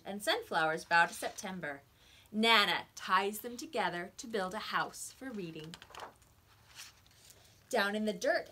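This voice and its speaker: A woman reading picture books aloud to young children like a teacher, with expressive emphasis on the words. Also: mid-range voice, slight background noise.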